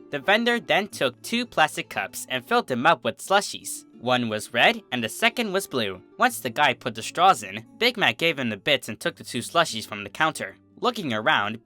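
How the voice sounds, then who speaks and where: A man's voice: medium pitch, bright, forceful tone. A man reading a scripted story aloud as the narrator of fan fiction.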